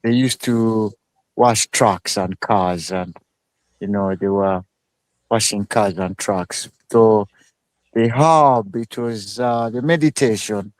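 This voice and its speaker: A man from Uganda speaking as a guest on a live show. He talks slowly, with many pauses and fillers. His voice is smooth and rather low, and the sound is dry and close. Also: no echo, rough recording. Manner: slow, halting speech with many fillers. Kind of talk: casual live interview.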